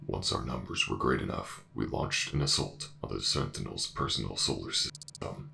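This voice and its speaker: A man voicing a formal, terse artificial intelligence war commander in a sci-fi audio roleplay, speaking in clipped, measured announcements. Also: very deep voice, creaky, bright, forceful tone, slight background noise, pitched below the performer's own voice, heavily acted. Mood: solemn, urgent.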